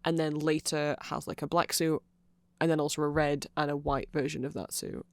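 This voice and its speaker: A man's voice: on the high side, little pitch movement, normal speed, gravelly, dry recording. A man giving a casual ASMR explainer, rambling along with nerdy enthusiasm. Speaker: ASMR audio creator.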